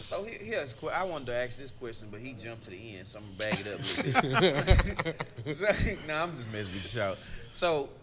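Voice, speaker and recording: A man's voice: medium pitch, sing-song, brisk, a loud crowd. A man co-hosting a casual interview, playful and teasing with a slangy way of talking.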